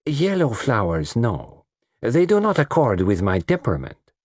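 Man putting on a coquettish South American woman, lilting, husky. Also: medium pitch, wildly swooping pitch, a little mellow, no echo, clean studio sound, pitched above the performer's own voice, heavily acted. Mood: dismissive. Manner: coquettish, drawn-out phrasing.

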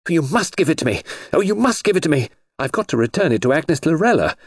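A man's voice: a little high, wildly swooping pitch, brisk, husky, dry recording, studio quality, voice pushed up, heavily acted. A man voicing a thrill-seeking, languid young society lady in an audiobook, with a gushing upper-class drawl. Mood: pleading. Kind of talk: audiobook character voice.

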